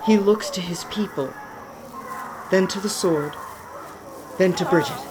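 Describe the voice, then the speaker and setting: A woman narrating a scripted radio play with measured, dramatic storytelling. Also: on the low side, background noise.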